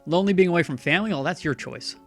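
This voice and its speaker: Male streamer, gravelly, rambling sarcastic commentary, faint guitar music underneath. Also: a little high, expressive, very fast, a bit bright. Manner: chatty, sarcastic, talks back to the game's characters. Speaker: streamer playing a game.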